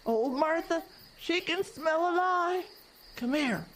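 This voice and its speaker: A woman's voice: extremely high pitch, animated, slow pace, fairly bright tone, a little hiss or hum, exaggerated voice. A voice actor playing an old woman from the woods, the carnival owner's mother, who talks in folksy rural grammar and gives ominous warnings in a horror radio drama.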